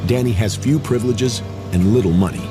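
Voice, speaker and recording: A man's voice: fairly low pitch, brisk. A man reading scripted documentary narration. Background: loud music.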